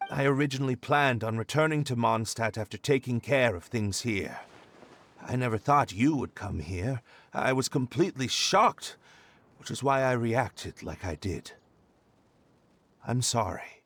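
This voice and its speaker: A man's voice: medium pitch, lively intonation, unhurried, long pauses, a bit bright. A man playing a penitent young noble in a video game cutscene, hesitant, formal and earnest. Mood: earnest, regretful. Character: penitent young male noble, disoriented and weary.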